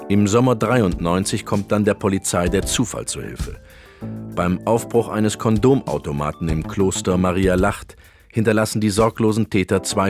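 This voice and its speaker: An actor playing a grave documentary narrator, reading mock true-crime narration in a formal, dramatic way. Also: male voice, on the low side, close to an everyday voice. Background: loud music.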